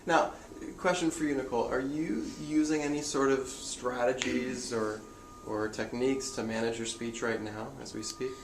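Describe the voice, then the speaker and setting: A young man asking questions in a loose, halting way full of fillers. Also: medium pitch, fairly even intonation. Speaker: interviewer. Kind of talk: casual conversation. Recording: echoey room, faint noise, voice away from the mic.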